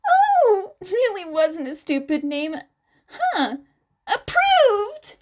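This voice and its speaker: A woman voicing an excitable, theatrical female teacher in a story reading, dramatic and gushing. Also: very high voice, very slow, voice pushed up, exaggerated voice. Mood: approving. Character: excitable theatrical adult woman teacher judging hero names.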